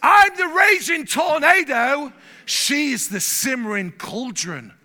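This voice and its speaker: Brief: male pastor from England, high-pitched and sharp, lively delivery that swells louder. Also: slow. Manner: animated, gets louder when stirred, repeats words. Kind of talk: sermon. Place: church hall.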